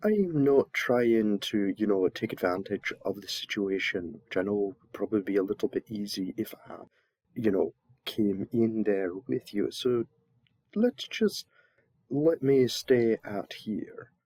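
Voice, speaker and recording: A man plays a flustered royal envoy in an audio roleplay, low in pitch and very slow to get words out. He stammers politely and defers at every turn, in a close, dry recording. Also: a little mellow, no echo. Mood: polite, reserved.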